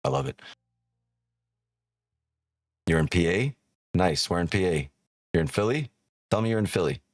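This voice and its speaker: A man's voice: low voice, big pitch swings, moderate pace, no room sound, clean studio sound. A man born in Ukraine hosts a live trading stream, chatting casually and with profanity as he reads chat aloud, often tagging on "right?".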